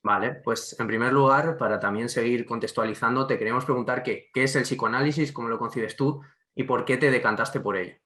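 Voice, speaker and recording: A man hosting a podcast interview, asking questions in a relaxed, conversational way with small restarts. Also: medium pitch, fairly quick, hardly any pauses.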